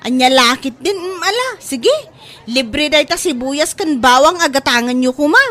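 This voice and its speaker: A woman's voice: sits high, expressive, normal speed, a bit bright. A woman playing a nosy, teasing market stallkeeper in an Ilocano radio comedy drama, with an Ilocano accent. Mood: generous.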